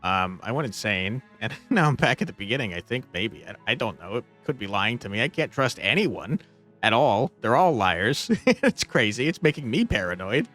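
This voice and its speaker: A man's voice: on the low side, sing-song, moderate pace, fairly bright tone, soft background music. A man hosting a gaming video with casual, informal, enthusiastic commentary. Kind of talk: casual game commentary, sometimes reading game text aloud.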